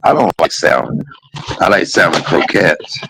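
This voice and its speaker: Male host, low and husky, animated delivery, playful teasing. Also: moderate pace, a little hiss or hum, low-quality recording. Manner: joking, teasing, bursts of laughter. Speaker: podcast host. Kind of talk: casual chat. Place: hospital room.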